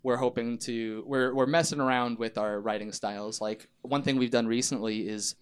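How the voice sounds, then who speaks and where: A male band member giving a relaxed-format interview. His voice is medium in pitch and smooth, and he speaks in a casual, conversational style. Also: moderate pace. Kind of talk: casual chat.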